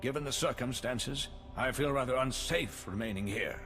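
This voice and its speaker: A man's voice: mid-range voice, normal speed, a bit bright, lightly acted. Man playing an anxious, placating official, reverberant. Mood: focused. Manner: earnest, placating. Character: anxious male civilian official, pleading and pragmatic appeaser. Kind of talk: scripted game acting.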